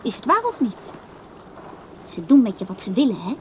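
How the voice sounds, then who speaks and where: High-voiced, needling young woman. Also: very animated, moderate pace, slight background noise. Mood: teasing, probing. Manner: probing, blunt, taunting. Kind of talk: radio drama dialogue.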